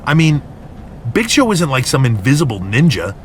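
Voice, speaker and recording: A man's voice: mid-range voice, very animated, moderate pace, a little hiss or hum, close to an everyday voice. A man playing a flippant, indignant wrestler in a video game, sarcastic and defensive. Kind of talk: video game cutscene acting.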